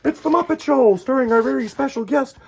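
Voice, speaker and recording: A man voicing an excited, theatrical puppet variety show announcer, booming and hammy. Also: a little fast, gravelly, breathy edge, exaggerated voice.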